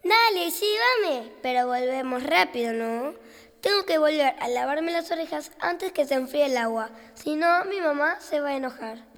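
A woman acts a naive young child in a children's radio drama, full of wide-eyed questions. The high voice has a Rioplatense Argentine accent and rings in a long reverb. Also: lively intonation, moderate pace, fairly bright tone. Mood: eager. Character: curious, naive young child at bath time.

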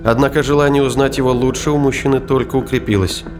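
A man's voice: mid-range voice, fairly even intonation, fast. A man narrating a scripted science fiction audio drama, with music behind his voice.

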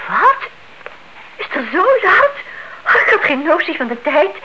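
A woman's voice: high voice, sing-song, a little slow, sharp tone, heavily acted. An actress playing a sensible, matter-of-fact woman in a radio play. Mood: brisk. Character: sensible, patient but mildly impatient woman named Louise. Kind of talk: radio play acting in Dutch. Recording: muffled, very noisy old radio recording.

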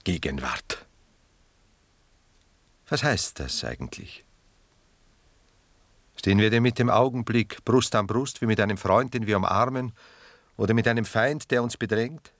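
An actor playing a reflective, tender, melancholy older lover in a radio drama, speaking in a measured literary way. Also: male voice, lowish voice, expressive, no echo. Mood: musing. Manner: measured, literary, musing delivery. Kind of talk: 1960s German radio drama acting.

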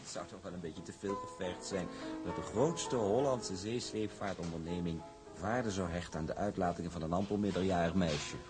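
A man's voice: deep voice, moderate pace, music in the background, close to an everyday voice. An actor playing a smug, domineering shipping tycoon in a drama, talking in a hearty, condescending way to his sweetheart. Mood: scornful. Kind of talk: acted period drama dialogue.

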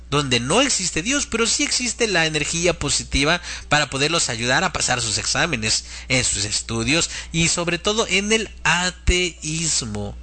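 A man's voice: a little high, sharp tone. A man speaking as a religious host and preacher, in a didactic devotional style addressed to his listeners. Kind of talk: devotional teaching talk and prayer.

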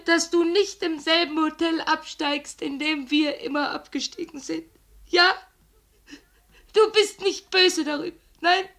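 A heartbroken, high-voiced mistress. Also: female voice, a bit bright, full character voice. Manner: halting, tearful, intensely emotional, pleading. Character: heartbroken abandoned mistress, tender, desperate, pleading on telephone. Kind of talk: 1950s German radio drama acting, monologue.

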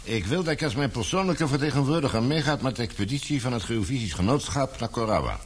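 An actor playing an authoritative older newspaper baron in a radio play, brisk, patronising and fatherly. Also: medium pitch, expressive, husky. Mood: businesslike. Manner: commanding, patronising. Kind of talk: Dutch radio play acting.

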